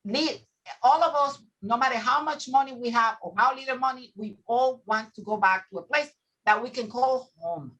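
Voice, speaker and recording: Bright-toned earnest woman guest. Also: on the high side, moderate pace, muffled recording. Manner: earnest, repeats words while finding them. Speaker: guest, immigration attorney. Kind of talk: casual panel talk.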